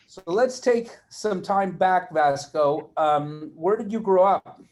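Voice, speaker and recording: A man's voice: high-pitched, slow pace, a little mellow, a little hiss or hum. A man hosting an interview, reading an introduction and then asking friendly questions. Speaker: interview host.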